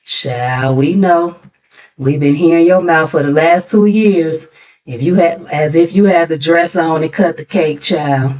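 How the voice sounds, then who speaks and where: Gossip channel host: American woman, slightly low-pitched, sassy and casual, dropping mocking asides into her recap. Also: unhurried, a little mellow.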